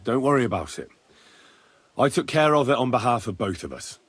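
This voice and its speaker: An actor playing a loyal young blacksmith turned page in a medieval video game, earnest and plain-spoken. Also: male voice, mid-range voice, sing-song, a little fast, a bit bright, breathy edge, no echo. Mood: subdued. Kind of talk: video game cutscene acting.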